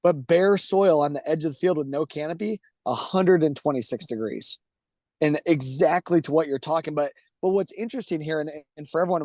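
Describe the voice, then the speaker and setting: A man's voice: a little high, a little mellow, no room sound, clean studio sound. A man hosting a webinar who jumps in with enthusiastic comments, talking casually with restarts and fillers. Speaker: webinar host.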